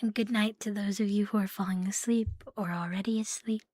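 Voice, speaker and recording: A woman streaming ASMR in a soft, breathy, often whispered voice, playful and intimate, close to the microphone. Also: medium pitch, fairly even intonation, brisk, dry recording. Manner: playful, giggly, intimate, lingering on repeated words. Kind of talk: ASMR roleplay with casual rambling to stream viewers.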